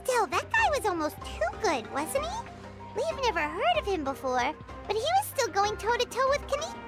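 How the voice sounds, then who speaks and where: A woman voicing a tiny, chirpy, excitable fairy companion in a video game. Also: normal speed, full character voice. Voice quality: high squeaky childlike voice. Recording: game audio with music behind.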